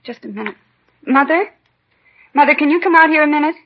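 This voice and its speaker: A woman playing a guarded, easily indignant widowed housewife in 1950s radio acting, with a creaky, husky voice. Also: sits high, a little fast, lightly acted. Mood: defensive, indignant. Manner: defensive, insistent. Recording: dull 1950s radio recording.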